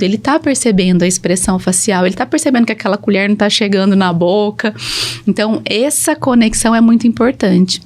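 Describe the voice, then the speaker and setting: A woman's voice: medium pitch, a little mellow. A woman with a Brazilian Portuguese accent, a speech therapist explaining things methodically and with emphasis in a podcast conversation.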